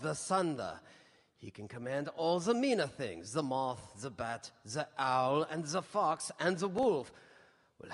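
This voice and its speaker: A commanding old vampire-hunting professor in a live radio play. He speaks in portentous, formal oratory, his pitch rising and falling widely, ringing through a reverberant hall. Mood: impassioned gravity. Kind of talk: live radio drama acting.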